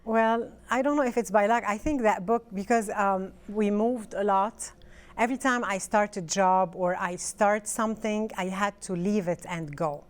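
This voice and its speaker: Woman from lebanon, native french speaker, hesitant, restarts a lot, smooth voice. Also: mid-range voice, normal speed. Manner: hesitant, restarts often, fillers. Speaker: author, interview guest. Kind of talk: casual interview chat.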